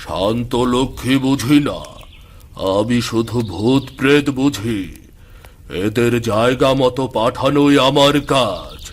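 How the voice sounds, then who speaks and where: A man's voice: mid-range voice, takes it slowly. A man voicing a fake village exorcist in a cartoon, blustering and cowardly, with bombastic, theatrical chanting. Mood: pompous. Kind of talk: animated cartoon voice acting.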